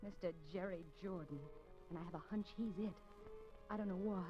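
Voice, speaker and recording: A woman's voice: medium pitch, fairly even intonation, brisk, soft background music, lightly acted. An actress playing an anxious, determined woman undercover in a 1950s radio suspense drama, with coy, hesitant delivery. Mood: wistful, uneasy. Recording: dull 1950s radio recording.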